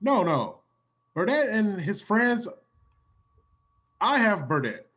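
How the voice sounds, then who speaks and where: A man's voice: sits high, big pitch swings, slow, fairly bright tone, slightly rough, full character voice. A man playing a fumbling playboy bachelor in a comedic radio play. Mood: evasive. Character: tipsy playboy bachelor devoted to his dog.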